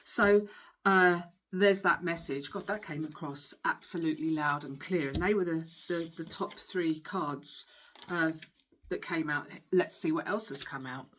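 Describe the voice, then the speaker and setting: A woman's voice: low voice, unhurried, a bit bright, a little hiss or hum. A woman giving an informal tarot reading as a spiritual healer, speaking in an emphatic, earnest and reassuring way.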